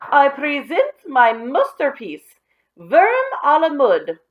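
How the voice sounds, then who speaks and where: A woman voicing a boy showman in a children's storybook, announcing with drawn-out mock pomp. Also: very high voice, huge pitch swings, lo-fi sound, pitched above the performer's own voice, full character voice. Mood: grand, showy.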